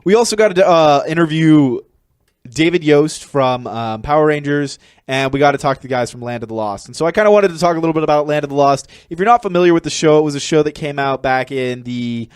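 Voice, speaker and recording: A man with an American accent co-hosting a podcast in a casual, rambling, halting way. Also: a little high, clear, resonant tone, dry recording, studio quality. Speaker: podcast co-host. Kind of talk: casual chat.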